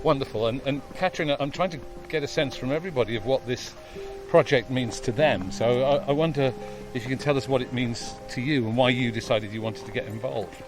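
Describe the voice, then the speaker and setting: A man's voice: mid-range voice, a little fast, few pauses, music in the background, poor audio. A man hosting a walking interview outdoors, asking friendly, curious questions. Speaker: host. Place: outdoors on a hill walk.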